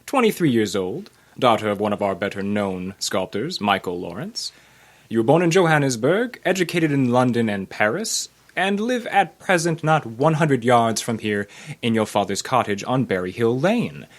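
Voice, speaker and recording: A smug, suave doctor from a radio play, acted by a man. The voice is husky and swings widely in pitch. He speaks with measured, unctuous condescension and stagey polish. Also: very animated. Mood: smugly amused. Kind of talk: old-time radio acting before a live audience.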